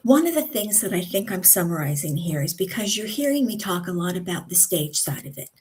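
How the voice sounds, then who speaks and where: A woman's voice: on the low side, sing-song, darkish tone. Woman coaching on a live stream. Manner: instructive, deliberate, with emphatic pauses. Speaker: communication coach. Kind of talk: live-streamed podcast talk. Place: seated indoors at a computer.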